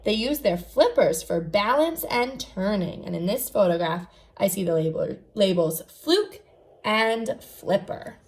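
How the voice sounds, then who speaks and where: A woman's voice: medium pitch, animated, unhurried. A woman teacher reading aloud to young children, enunciating carefully and adding short explanatory asides. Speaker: first grade teacher.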